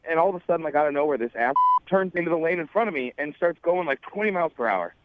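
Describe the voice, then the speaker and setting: Casting: man phoning a radio show, fast, agitated, casual rambler, muffled dry line. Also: a little high, expressive. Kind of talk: casual conversational storytelling. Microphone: telephone. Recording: slightly dull phone call audio.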